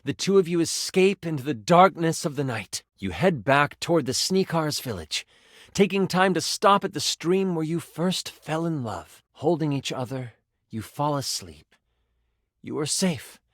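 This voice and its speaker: Casting: theatrical fantasy game master, highly expressive delivery, conversational asides, dry studio sound. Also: male voice, a little slow, no room sound. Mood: solemn. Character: animated personified twenty-sided die running a fantasy game as game master. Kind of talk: dramatic tabletop fantasy narration with improvised asides.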